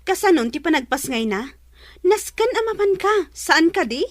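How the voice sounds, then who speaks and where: A woman's voice: very high-pitched, very animated, moderate pace, no room sound, clean studio sound. A woman playing a spiteful, whiny younger sister in an Ilocano radio drama. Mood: spiteful, complaining.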